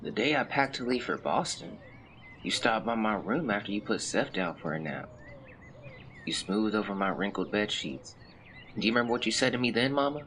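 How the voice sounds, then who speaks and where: An actor playing a melancholy young man who reads out a confessional farewell letter in measured, formal words, as in an audio drama. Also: on the low side, animated, a bit bright, slight background noise. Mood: tender, sorrowful. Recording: clear birdsong and outdoor ambience behind. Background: birdsong, nature ambience.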